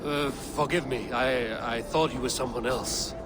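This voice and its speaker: A man's voice: mid-range voice, steady tone, a little slow, music in the background, close to an everyday voice. A man playing a proud, confident Norse warrior god in a video game, formal and commanding, with ambience behind. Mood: apologetic. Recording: clear outdoor ambience behind the voice.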